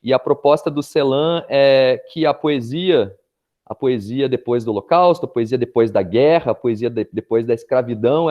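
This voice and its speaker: Brazilian guy on a video call, mellow warm voice, earnest and measured about poetry. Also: mid-range voice. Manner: earnest, measured, checks in with 'né'. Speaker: poet and teacher. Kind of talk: reflective spoken address at an online poetry event.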